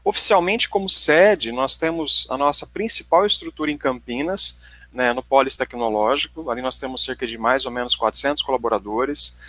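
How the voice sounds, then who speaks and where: A man's voice: mid-range voice, moderate pace, bright, forceful tone, no echo. A man in his forties with a Paraná Brazilian accent, giving a conversational company presentation in a tech webinar and often checking in with his listeners. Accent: Paraná Brazilian Portuguese. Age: 40s.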